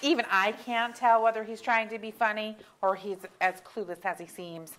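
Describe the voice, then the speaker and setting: A woman's voice: mid-range voice, steady tone, normal speed, fairly bright tone, close to an everyday voice. Woman acting exasperated, cutting middle-aged wife. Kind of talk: theatrical dialogue reading. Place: museum auditorium stage.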